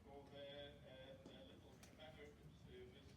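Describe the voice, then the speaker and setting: A man speaking up from the audience at a meeting, hesitant and pausing with fillers. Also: mid-range voice, little pitch movement. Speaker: guest sponsor speaking from the audience. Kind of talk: casual remarks at a meeting. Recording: echoey hall, far from the mic, loud background noise.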